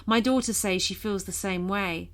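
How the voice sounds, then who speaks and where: A woman's voice: medium pitch, normal speed. A woman reading a scripted first-person horror story as a narrator. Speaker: reader of listener-submitted horror stories.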